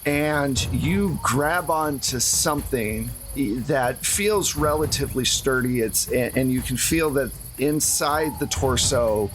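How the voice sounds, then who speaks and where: A man's voice: mid-range voice, slow, few pauses, a bit bright, a little hiss or hum. A man acting as game master, improvising tabletop game narration in a conversational way.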